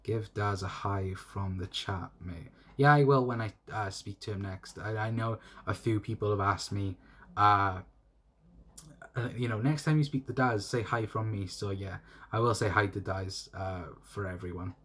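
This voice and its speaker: Young South Welsh man with a breathy, slightly low voice who rambles and repeats himself while hosting a solo fan stream. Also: normal speed, a bit bright. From South Wales. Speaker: fan channel host. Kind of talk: solo livestream, reading chat comments.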